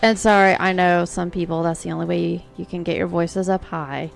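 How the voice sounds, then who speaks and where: A woman in her thirties with a low, gravelly voice co-hosts a casual podcast. She talks bluntly and gives her opinions freely, groaning in dismay. Faint music plays underneath. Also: fairly even intonation, fairly quick. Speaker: podcast co-host.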